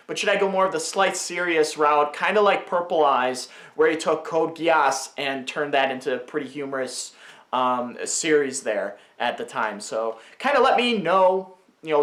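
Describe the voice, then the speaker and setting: A man's voice: a little high, a bit bright, roomy sound. A man giving casual, unscripted commentary on his own series, rambling and often interrupting himself. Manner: rambling, chatty, self-interrupting. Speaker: video creator giving commentary.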